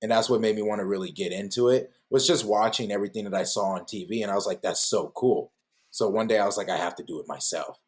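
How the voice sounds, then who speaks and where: A man's voice: on the low side, quick pace. A man from Cameroon who grew up in Oakland, a pro wrestler giving polite, composed interview answers in full sentences. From Cameroon, raised in Oakland, California.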